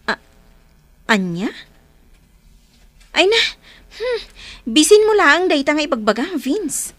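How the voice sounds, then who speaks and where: High, lilting, coy young heroine. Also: female voice, very animated, takes it slowly, fairly bright tone, no room sound, heavily acted. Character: sweet, coy young woman being courted, romantic heroine.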